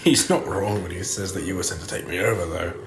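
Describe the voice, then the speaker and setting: A man's voice: low voice, fast, background noise. A man voicing a kindly, sickly steam engine in an audio story, polite, hesitant and modest. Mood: modest, welcoming. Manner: hesitant, polite, self-deprecating.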